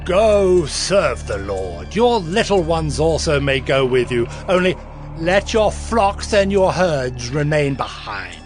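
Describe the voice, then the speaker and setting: A man's voice: fairly high pitch, big pitch swings, takes it slowly, soft background music. An actor playing a proud, stubborn king of Egypt in an audio Bible drama, imperious and theatrical. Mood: grudging.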